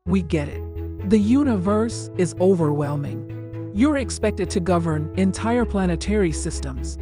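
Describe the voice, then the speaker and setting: Low-pitched corporate spokeswoman, played by a woman. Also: animated, measured pace. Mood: polished, assured. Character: polished corporate spokeswoman for a sinister galactic conglomerate. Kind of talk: scripted advertisement voice-over. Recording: music clearly behind the voice. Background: instrumental music.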